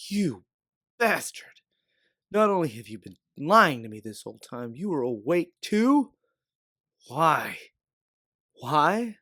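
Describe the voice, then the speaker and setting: A man voicing a bitter, defiant young woman who accuses in shouting outbursts. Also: fairly high pitch, big pitch swings, takes it slowly, long pauses, dry recording, clean studio sound, voice pushed up, heavily acted. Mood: outraged.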